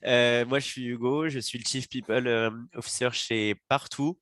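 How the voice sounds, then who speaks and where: Man, French accent, has a cold, stuffy, medium pitch, dry close sound. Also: steady tone, moderate pace, a bit bright, no echo. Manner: stuffy, hesitant delivery. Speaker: panel guest, chief people officer. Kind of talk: introducing himself on a panel.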